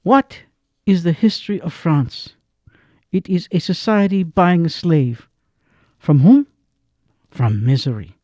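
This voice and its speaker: A man's voice: on the high side, expressive, slow, long pauses, warm tone, no room sound, studio quality. A man narrating a radio drama in a grave, emphatic way that swells on key words.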